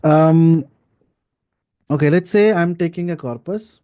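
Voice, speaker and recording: A man's voice: a little high, measured pace, warm tone, clean tone. A man teaching an informal live coding class, explaining step by step and checking in with short tags like right and okay. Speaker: data science instructor.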